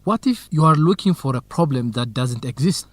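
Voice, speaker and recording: An actor playing an earnest, cautious, lovestruck young research assistant in an educational radio drama. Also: male voice, a little high, lively intonation, normal speed, no room sound. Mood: reasonable, questioning. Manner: earnest, anxious, principled.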